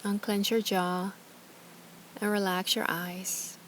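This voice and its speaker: Woman, yoga teacher, calm, gentle, soothing, short guiding phrases, medium pitch, light background ambience. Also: takes it slowly, a little hiss or hum. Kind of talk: guided yoga instruction.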